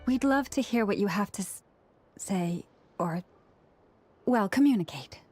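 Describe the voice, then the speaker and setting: A woman's voice: medium pitch, animated, unhurried, darkish tone, soft background music. A woman playing a polite, curious young female traveler in an anime game.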